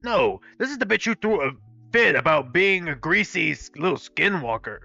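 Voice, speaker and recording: A man's voice: a little high, animated, normal speed, vocal fry, pushed and bright, no echo, muffled recording, faint music behind, voice pushed up, exaggerated voice. A man voicing a brash, snippy, foul-mouthed young character in acted story dialogue. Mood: snippy, irritated.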